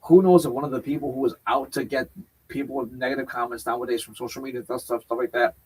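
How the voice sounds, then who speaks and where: A man's voice: medium pitch, fairly even intonation, quick pace, a little mellow, slightly rough, poor audio. A man co-hosting a casual wrestling chat show, talking in a loose, rambling way and checking in with "right?". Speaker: podcast co-host.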